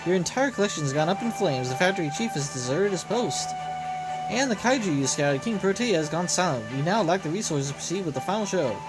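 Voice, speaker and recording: A woman's voice: lowish voice, natural voice, poor audio. Woman as an unflappable digital assistant, music behind. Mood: deadpan. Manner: brisk, formal, deadpan. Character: unflappable, formal digital assistant reporting bad news. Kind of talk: voiced reading of anime game dialogue. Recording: loud game music behind voice.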